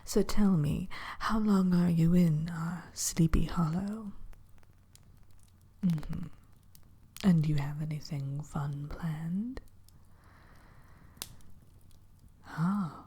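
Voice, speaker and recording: A woman playing a haughty, grudging witch innkeeper in a whispered ASMR roleplay, speaking in prim, old-fashioned phrases with hesitant pauses. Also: low-pitched, mellow, breathy edge, exaggerated voice. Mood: primly warning.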